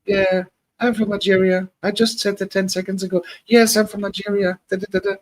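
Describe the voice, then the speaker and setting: A man's voice: high-pitched, little pitch movement, brisk, pitched above the performer's own voice, natural voice. A man voicing an eager male chat viewer who repeats the same lines over and over in a raised voice. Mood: pestering.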